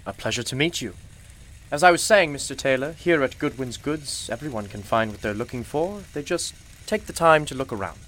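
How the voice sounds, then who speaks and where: An actor playing a courteous, old-fashioned shop proprietor in a radio play, speaking with formal, genteel politeness. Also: male voice, medium pitch, lively intonation, a little fast, fairly bright tone, close to an everyday voice. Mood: genial, courteous. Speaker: shopkeeper.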